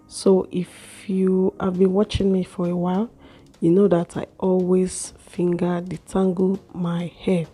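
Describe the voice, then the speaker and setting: A young woman with a slightly hoarse voice, chatting slowly through a hair care vlog in a small room. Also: lowish voice, completely flat delivery, mellow, faint music behind. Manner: slow, chatty explaining with fillers. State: a little hoarse from a cold. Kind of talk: casual how-to vlog.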